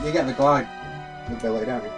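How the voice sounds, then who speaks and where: A man with a medium-pitched voice co-hosts a let's play. His chat is casual and blunt, with game music clearly playing behind him. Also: fast talker. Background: video game music.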